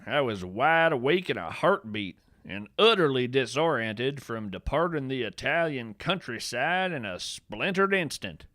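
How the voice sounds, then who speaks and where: A man's voice: mid-range voice, fairly bright tone, no echo, studio quality. A man playing a folksy, eccentric naturalist podcast host, delivering a whimsical scripted monologue in a theatrical, wry way. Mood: animated storytelling. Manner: theatrical, folksy, wry, addresses listeners as friends. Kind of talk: scripted comedic monologue, radio-show storytelling.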